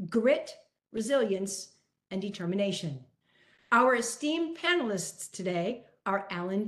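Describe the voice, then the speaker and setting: A woman hosts a podcast with a fairly high voice. She delivers her scripted introduction with enthusiasm and emphasis, then moves easily into moderating her guests. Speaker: podcast host. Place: South Florida.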